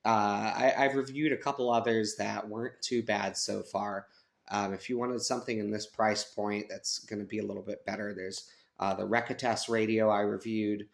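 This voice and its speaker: An American man giving a casual, unscripted review, thinking aloud with hesitant pauses. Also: fairly low pitch, fairly even intonation. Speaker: radio reviewer. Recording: clear radio static and hiss behind. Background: shortwave radio static and stations.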